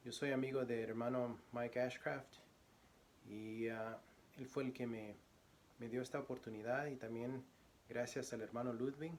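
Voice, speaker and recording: A man from Guatemala, a church deacon, introducing himself as a guest preacher and reading scripture, speaking softly with many pauses. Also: medium pitch, fairly even intonation, slight background noise. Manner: soft-spoken, frequent pauses and fillers; louder, declamatory when reading scripture.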